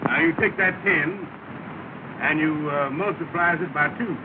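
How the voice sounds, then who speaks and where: An actor playing a smooth-talking, scheming lodge leader who uses fancy words in an old film comedy. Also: male voice, a little high, unhurried, natural voice. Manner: slick, long-winded, fancy words. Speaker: comic schemer in a 1930 film. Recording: scratchy, tinny, hissy 1930 film sound.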